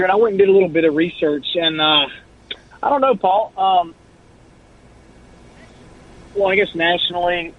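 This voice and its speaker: Male caller, phone-line sound, slightly high, earnest and organized. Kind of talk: phone-in question. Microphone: telephone.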